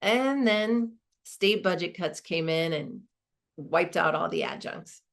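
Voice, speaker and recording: A woman's voice: on the low side, lively intonation, takes it slowly, vocal fry, fairly bright tone, heavily acted. An actress playing a modest woman law school dean, giving a reflective, conversational monologue with small hesitations. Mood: appreciative, reflective. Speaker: performer of a dramatized narrative.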